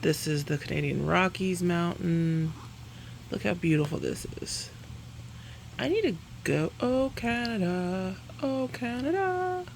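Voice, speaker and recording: A woman's voice: fairly low pitch, animated, measured pace, vocal fry, a little hiss or hum. A woman chatting casually through a makeup review, rambling and correcting herself, laughing between sentences. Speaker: beauty vlogger.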